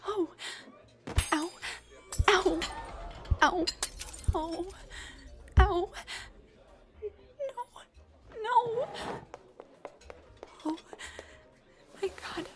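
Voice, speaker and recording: Woman voicing a nervous dullahan girlfriend, audio roleplay, rambling and sweetly awkward, unhurried pace, soft music behind. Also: very high-pitched, lively intonation, exaggerated voice. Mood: panicked, mortified. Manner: flustered, rambling, hesitant, soft-spoken. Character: shy, nervous female dullahan on a blind date. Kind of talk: intimate scripted audio roleplay addressed to the listener.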